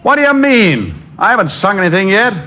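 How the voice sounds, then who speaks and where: Breezy male gumshoe, dry and sardonic, wide-ranging delivery, old low-fidelity radio recording. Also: huge pitch swings, brisk, lo-fi sound. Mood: playful. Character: wisecracking, cool male private detective. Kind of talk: 1940s radio detective drama acting.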